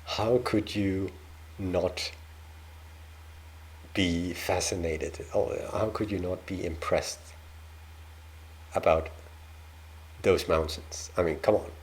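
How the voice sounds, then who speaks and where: Slow, deep-voiced Danish man reacting. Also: fairly even intonation, a little hiss or hum, rough recording. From Denmark. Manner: hesitant, many ums and restarts. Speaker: reaction video host. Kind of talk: casual reaction commentary.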